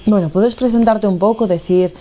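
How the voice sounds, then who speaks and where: A woman hosting an interview, greeting viewers and asking her guest brisk, chatty questions. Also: medium pitch, dull audio, over music. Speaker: interview host.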